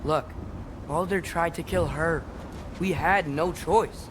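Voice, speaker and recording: A man's voice: a little high, slow, noisy background. A young male actor voicing a stubborn, confident teenage boy adventurer in a video game, with casual, cocky, earnest delivery. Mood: defiant, earnest. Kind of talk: video game character acting. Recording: game ambience clearly behind.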